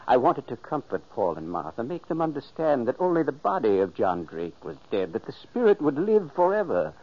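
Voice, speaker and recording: A man's voice: mid-range voice, close to an everyday voice. An actor playing the ghost of a dead older man, narrating earnestly and pleading to warn his brother, in an old radio play. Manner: urgent, pleading. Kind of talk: 1940s radio acting. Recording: dull old radio recording.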